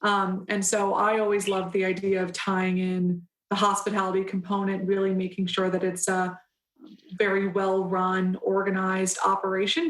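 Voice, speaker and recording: A young adult woman council member answering interview questions in an earnest, measured way, with frequent pauses and restarts. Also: mid-range voice, reverberant, low-quality recording.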